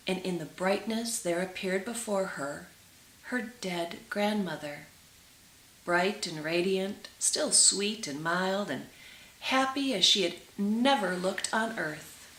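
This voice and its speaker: A woman reading a story aloud in an expressive storybook style, with dramatic, deliberate phrasing and drawn-out words. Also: lowish voice, slight background noise. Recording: roomy, echoing sound.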